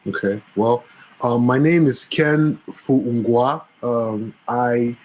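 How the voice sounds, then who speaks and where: A man's voice: medium pitch, slow pace, darkish tone, low-quality recording. A man from Cameroon, a filmmaker guest in a casual interview, speaking easily with hesitant fillers.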